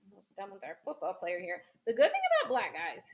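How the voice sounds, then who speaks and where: A woman's voice: medium pitch, wildly swooping pitch, fast talker, muffled recording. A woman hosting a crafting demo, chatting casually to viewers as she paints, a little sniffly from a cold. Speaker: craft host.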